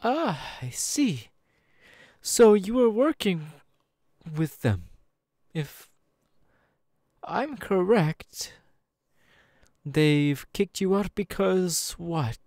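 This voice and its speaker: A man voicing a suave, menacing demon in an ASMR roleplay, with teasing, patronising, drawn-out phrasing, close on the microphone. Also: a little high, a little mellow, no echo. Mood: condescending curiosity. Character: suave, menacing male demon, playfully condescending and seductive. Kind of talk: ASMR audio roleplay, second-person monologue. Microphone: close dry microphone.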